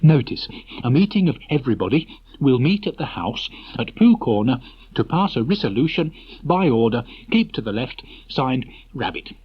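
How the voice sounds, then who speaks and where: A man voicing a brisk, officious rabbit in a children's storybook, announcing things in a clipped, bossy way. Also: medium pitch, very animated, husky, pitched above the performer's own voice. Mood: official. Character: brisk, officious male rabbit organising a meeting. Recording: muffled old cassette tape transfer.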